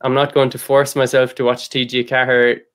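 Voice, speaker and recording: A man's voice: mid-range voice, moderate pace, smooth. Casual Irish man hosting a podcast. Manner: relaxed, rambling, with many "like" fillers. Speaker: podcast host. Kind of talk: casual conversation.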